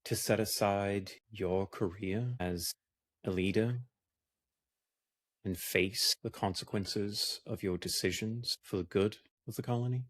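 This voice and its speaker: A man's voice: lowish voice, fairly even intonation, measured pace. A man playing a hostile, challenging interrogator in a space colony role-play game, formal and pointed. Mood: formal, stern.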